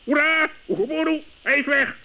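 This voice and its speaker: A man voicing an excitable, indignant raven in a children's radio play, with a sharp, emphatic voice. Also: very high voice, moderate pace, creaky, full character voice. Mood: excited. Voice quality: sharp, piercing. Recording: dull old radio recording.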